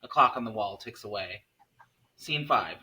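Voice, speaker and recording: A man's voice: on the low side, expressive, normal speed, pushed and bright. A man narrating the stage directions of a screenplay at a table read.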